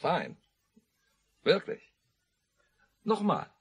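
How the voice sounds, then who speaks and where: An actor playing a polite, admiring English-speaking visitor in a 1950s German radio play, making brief remarks sprinkled with English. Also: male voice, sits high, wildly swooping pitch, drawn-out words, exaggerated voice. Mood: curious. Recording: noisy mono 1950s radio recording.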